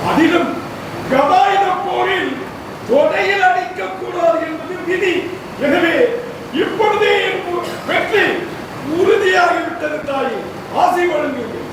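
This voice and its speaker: A man's voice: very high voice, takes it slowly, long pauses, full character voice. A man acting as a proud young warrior prince speaking earnestly to his mother in a stage play. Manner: earnest, declamatory, pleading. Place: large auditorium stage. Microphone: distant room mic. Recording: echoey hall from far off, loud noise.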